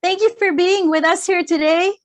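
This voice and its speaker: A female talk show host with a high, bright-pitched voice reads out a formal guest introduction. She moves on to her questions in the same polite, carefully scripted manner. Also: fairly even intonation, normal speed.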